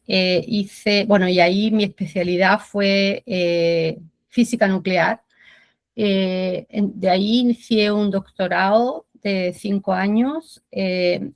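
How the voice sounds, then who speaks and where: A woman's voice: on the low side, no room sound. A woman from Spain in her forties, a medical physicist answering an audience question on a video call, with a Spanish accent slightly tinged Chilean and many hesitant fillers. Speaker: medical physicist, guest speaker.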